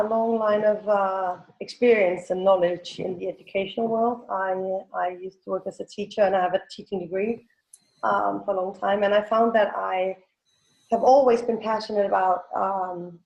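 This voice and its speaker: A woman's voice: mid-range voice, darkish tone, dull audio. Danish woman, 40s, native danish speaker with the accent, chatting as a guest, corrects herself, hesitant, echoey sound. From Denmark. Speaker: guest, teen coach and business owner. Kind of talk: casual interview answers. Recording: roomy, echoing sound.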